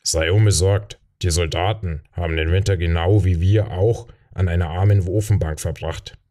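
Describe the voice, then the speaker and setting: A man's voice: deep voice, fairly even intonation, moderate pace, dark tone, close to an everyday voice. A man voicing a confident, reassuring rebel fighter in a fantasy audiobook. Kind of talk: audiobook character voice.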